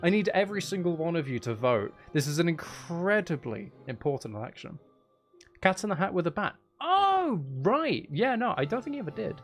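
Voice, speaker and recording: A man's voice: high voice, sing-song, moderate pace, faint music behind. A man chatting casually as he hosts a live game stream, playfully mock-dramatic and self-deprecating with his viewers. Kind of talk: casual chatty gaming livestream talk with viewers.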